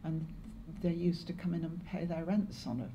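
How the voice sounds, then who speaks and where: An older English woman in her seventies or beyond, reminiscing in an interview in a measured, halting way with pauses. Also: on the low side, muffled recording, noisy background. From Lancashire, northwest England. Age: 70s or older. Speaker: interviewee, longtime community volunteer. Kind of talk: oral history interview, reminiscing.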